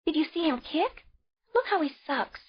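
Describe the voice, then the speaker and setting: A woman's voice: high voice, animated, moderate pace, pitched above the performer's own voice. A woman voicing a fierce young cave woman, a new mother pleading tearfully and refusing to give up her baby. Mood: fierce defiance. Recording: dull lo-fi audio.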